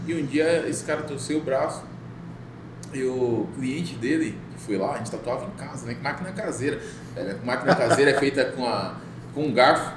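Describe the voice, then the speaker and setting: Man, middle-aged, casual storyteller, mid pitch, roomy echo. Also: moderate pace. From Bahia, Brazil. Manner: relaxed storytelling, laughs often. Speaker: guest, tattoo artist. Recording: echoey room with noise.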